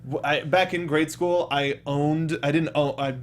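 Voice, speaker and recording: A man hosting a talk show, chatting casually and telling stories in animated, slangy language. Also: fairly high pitch, unhurried. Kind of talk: casual chat about video games.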